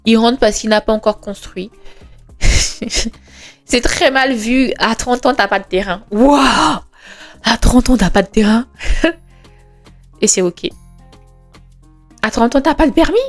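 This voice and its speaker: A woman's voice: fairly high pitch, slow, long pauses. A woman in her twenties hosting a podcast, talking in an earnest, conversational way, with frequent hesitations, rhetorical questions and emphatic reassurance. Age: 20s. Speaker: podcast host. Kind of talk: reflective monologue. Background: faint background music.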